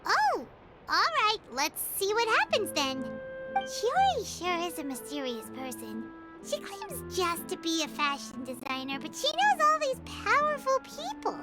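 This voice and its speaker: A woman's voice: extremely high pitch, wildly swooping pitch, a little slow, exaggerated voice. A woman voicing a tiny, chirpy fairy companion who talks about herself in the third person, in a video game. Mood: curious. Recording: game music behind.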